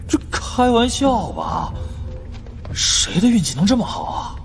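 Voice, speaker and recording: A man's voice: very high-pitched, wildly swooping pitch, measured pace, soft background music, pitched above the performer's own voice, full character voice. A man voicing a doubtful, grumbling male teammate in an audiobook, muttering in disbelief. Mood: incredulous. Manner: incredulous, muttering. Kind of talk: audiobook character acting.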